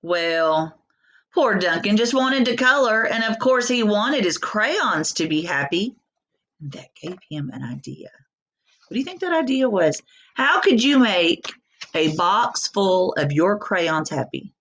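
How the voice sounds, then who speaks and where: A woman's voice: medium pitch, sing-song, unhurried, fairly bright tone. A woman reading a picture book aloud, with informal chatty asides to young listeners. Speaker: read-aloud storyteller.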